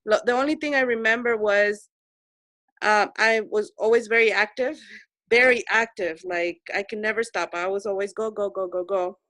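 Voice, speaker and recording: Earnest woman in her forties, bright. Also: mid-range voice, fairly even intonation, moderate pace, no echo. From El Salvador. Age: 40s. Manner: earnest, repeats herself for emphasis. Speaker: Zoom meeting participant. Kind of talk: personal story in group video chat. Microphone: video call.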